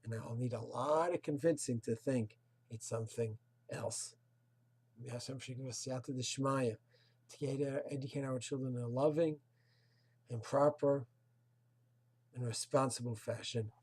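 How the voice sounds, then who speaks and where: A man's voice: mid-range voice, fairly even intonation, moderate pace, long pauses, a little mellow, breathy edge. A man, a rabbi, giving a recorded Torah class, earnest and moralizing, posing emphatic rhetorical questions and dropping in Hebrew and Yiddish terms. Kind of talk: recorded religious lecture.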